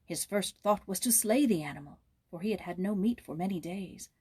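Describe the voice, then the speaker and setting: A woman narrating a children's story aloud in a measured, expressive storytelling manner. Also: mid-range voice, husky. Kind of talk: storybook read-aloud with informal introduction.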